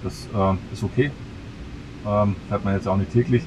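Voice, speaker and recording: A middle-aged man in a parked car, explaining features slowly and hesitantly with many fillers. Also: lowish voice, steady tone, noisy background. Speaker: car owner demonstrating his car. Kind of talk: casual explaining.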